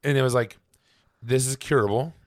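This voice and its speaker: A man co-hosting a podcast in casual chat, his voice raspy and hoarse from laryngitis, talking haltingly with many ums and trailing off quietly. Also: medium pitch, no room sound, clean studio sound. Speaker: podcast co-host. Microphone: podcast microphone.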